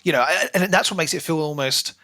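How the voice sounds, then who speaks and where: A man's voice: on the high side, fast, bright, forceful tone. A man co-hosting a podcast, talking casually through a book and acting out bits as he explains. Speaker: podcast co-host.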